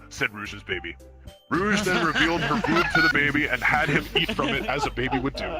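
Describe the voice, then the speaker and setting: A man reading a comic story aloud as a dramatic narrator, with a mock-serious manner. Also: medium pitch. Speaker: amateur reader of comic fan fiction. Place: online virtual reality hangout. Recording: music playing behind the voice.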